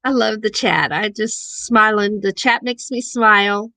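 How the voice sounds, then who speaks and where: A woman's voice: mid-range voice, measured pace, sharp tone, no echo. A woman from southern Missouri with a southern Missouri accent, chatting casually with viewers as host of a livestream. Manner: chatty, reads viewer chat aloud. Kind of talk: casual live chat.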